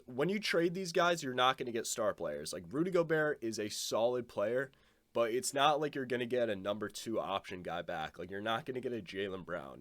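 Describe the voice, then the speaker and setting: A man's voice: lowish voice, a bit bright, dry recording. A young man with a stuffed-up nose giving a casual, blunt basketball opinion monologue, swearing now and then. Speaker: basketball commentator.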